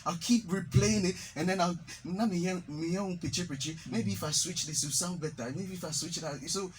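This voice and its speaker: A young Ghanaian man, raised in London, speaking as a relaxed guest in a casual radio interview and slipping in Twi phrases. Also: sits high, fairly quick, a little hiss or hum. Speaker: musician guest. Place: radio studio. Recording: radio studio with music behind.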